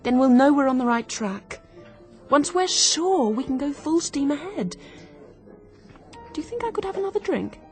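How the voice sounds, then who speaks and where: An actress playing a keen, quick-witted young woman turned amateur sleuth in a radio drama, brisk, confident and persuasive. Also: sits high, big pitch swings, a little mellow, soft background music. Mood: excited, intent.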